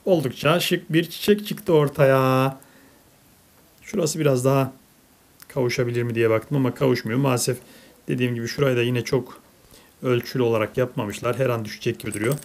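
A woman's voice: rumbling low, steady tone, a little fast, darkish tone. A woman narrating a casual toy unboxing for children, as a parent chatting with a child indoors at a table.

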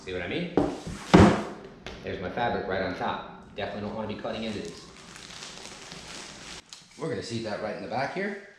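A man's voice: lowish voice, lively intonation, a little fast, long pauses. A man in his thirties giving practical step-by-step how-to instructions while working on the floor of a large family room at home, recorded on a camera mic from a distance. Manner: practical step-by-step, talks while kneeling and lifting. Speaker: DIY home channel host. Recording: echoey room, some noise.

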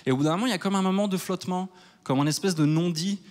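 A man's voice: high-pitched, racing. Wry Swiss man doing stand-up. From Switzerland. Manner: wry and self-mocking, rising to loud mock outrage. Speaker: stand-up comedian. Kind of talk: stand-up comedy set. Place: large theater stage before a live audience. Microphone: handheld mic.

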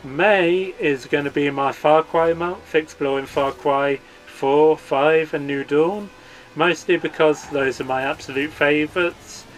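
Earnest man, slightly high voice. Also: steady tone, a little slow. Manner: earnest, upbeat. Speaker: gaming channel host. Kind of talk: scripted channel update.

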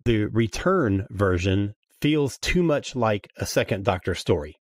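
A man's voice: lowish voice, expressive, a little slow, no echo, studio quality. A man speaking casually as a guest in a podcast review discussion.

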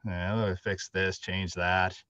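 A man's voice: sits low, level tone, slow, pushed and bright, no echo. A middle-aged man chatting casually as a panelist in a discussion. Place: indoor room with drop ceiling, on a video call. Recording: dull lo-fi video call audio.

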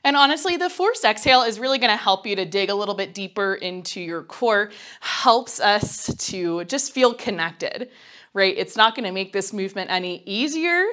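A woman's voice: mid-range voice, fairly bright tone. A young woman in her twenties with a nasal, cold-stuffed voice, coaching a mat pilates workout while doing it herself, a little breathless, chatty and encouraging as she counts down. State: getting over a cold, slightly breathless from exercise. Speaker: pilates instructor. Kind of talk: guided workout instruction. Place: small home studio, indoors.

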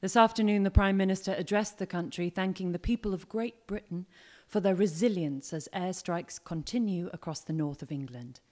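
A young woman playing a formal, measured radio newsreader reading a scripted wartime bulletin in an audio drama. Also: lowish voice, dark tone, close to an everyday voice. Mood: formal, grave. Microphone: mic on a stand.

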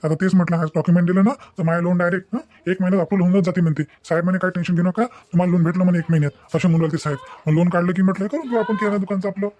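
Man acting a high-voiced, rapid-fire village husband. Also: fairly even intonation, a little mellow, close to an everyday voice. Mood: hopeful explaining. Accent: rural Vidarbha Marathi. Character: exasperated young village husband planning a shop. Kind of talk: Marathi cartoon family-drama dialogue.

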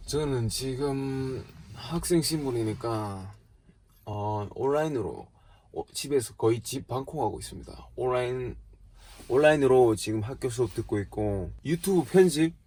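A man's voice: medium pitch, expressive, normal speed, a little mellow. A man in his twenties from South Korea with a regional dialect, chatting casually and self-deprecatingly as he answers viewer questions as a vlogger. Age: 20s.

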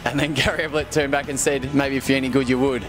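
Relaxed young Australian man telling stories. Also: medium pitch, fast talker, music in the background. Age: young adult. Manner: relaxed storytelling, chuckling asides. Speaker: footballer guest. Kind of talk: casual anecdote. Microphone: handheld interview mic.

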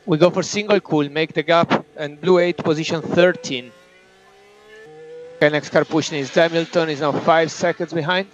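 A male race engineer speaks over a dull team radio link with faint noise. His voice is fairly high, and he gives short, clipped instructions and countdowns to his driver. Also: fairly even intonation, moderate pace.

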